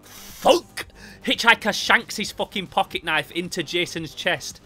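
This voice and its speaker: A man's voice: high voice, moderate pace, pushed and bright, faint music behind. A young man hosting an enthusiastic comic review, narrating in an emphatic, sweary way. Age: young adult.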